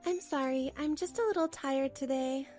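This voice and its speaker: High-voiced, weary young housewife, acted by a woman. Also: moderate pace, mellow, faint music behind, close to an everyday voice. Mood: meek protest. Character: weary, put-upon housewife narrating her own troubles. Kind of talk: first-person scripted story narration, manga dub.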